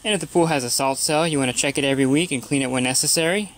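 A man's voice: fairly high pitch, very fast, a little hiss or hum. A pool service technician talking through his weekly routine in a plain, matter-of-fact instructional voice-over.

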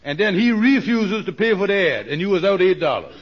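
Sly radio-comedy schemer: high, lively pitch, insistent wheedling, muffled vintage sound. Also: male voice, fairly quick, breathy edge, full character voice. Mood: sly, persuasive. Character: fast-talking scheming man, persuasive and sly. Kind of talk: 1940s radio comedy acting.

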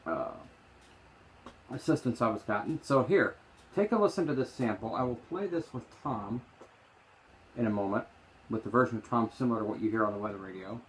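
A man talking off the cuff as he explains and demonstrates, in a halting, meandering, opinionated way. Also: lowish voice, animated, slight background noise, poor audio. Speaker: vlogger demonstrating screen reader voices. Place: small room indoors. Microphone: handheld phone, later lying on desk.

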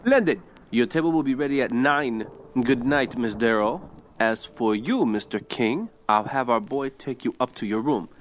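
A man's voice: medium pitch, moderate pace, a little hiss or hum, pitched above the performer's own voice, natural voice. A man voicing an officious, theatrical hotel clerk in a radio play, formal and commanding. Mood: courteous.

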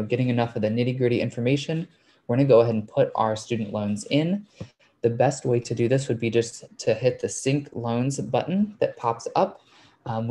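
A man presenting an informal explanatory webinar, walking listeners through things step by step in a creaky voice. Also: mid-range voice, dark tone. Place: online video call webinar.

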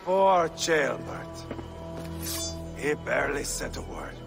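An actor playing a treacherous, unhinged Viking warlord in a game cutscene, taunting with sudden shouts. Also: male voice, big pitch swings, slow, gravelly, full character voice. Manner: taunting, swings between low menace and shouting. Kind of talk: video game cutscene acting. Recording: music under dialogue.

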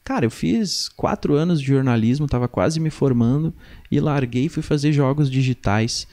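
A man's voice: medium pitch, normal speed, mellow. A young man with a southern Brazilian gaúcho accent telling stories casually and acting out remembered dialogue, close on a studio mic. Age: young adult. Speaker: podcast guest, musician. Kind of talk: casual conversational storytelling. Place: small indoor podcast studio. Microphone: close studio mic on boom arm.

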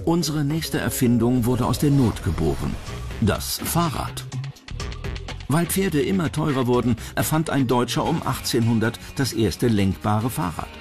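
A man's voice: mid-range voice, very animated, measured pace. Male narrator for scripted documentary, mid-range voice, music underneath.

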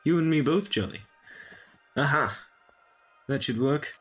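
A man's voice: a little high, expressive, measured pace, roomy sound, faint music behind. A man playing an eccentric, curious time-traveling adventurer in an amateur audio drama, chatty and whimsical. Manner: whimsical, breezy.

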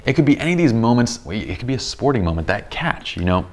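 A man in his thirties with a deep, slightly tired voice, explaining photography basics in a casual studio tutorial. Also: sing-song, fast. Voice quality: deep voice, a bit tired from a long day. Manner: enthusiastic, explains with many examples and restarts. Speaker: photography instructor. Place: bright indoor studio set with a sofa.

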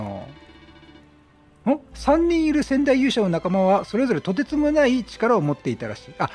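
A man with a fairly high, very lively voice plays a video game and talks his way through it. He reacts out loud and reads on-screen text, with faint music behind him. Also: breathy edge. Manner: talkative, reactive, exclaiming. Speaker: let's play streamer. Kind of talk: chatty game commentary, reading game text aloud.

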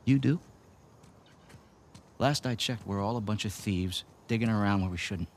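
Man playing a smug, mocking low-voiced American villain. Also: moderate pace, slight background noise, natural voice. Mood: sarcastic, menacing. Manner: taunting, theatrical, sarcastic. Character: smug, mocking male villain, rich treasure hunter. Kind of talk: video game cutscene acting.

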